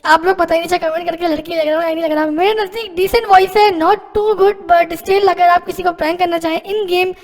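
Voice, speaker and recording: A very high, girlish young woman's voice, really a man through an AI voice changer that chats casually with viewers. The filtered tone sounds slightly synthetic. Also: little pitch movement, brisk, hardly any pauses, soft background music. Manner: casual, chatty, asks viewers for opinions.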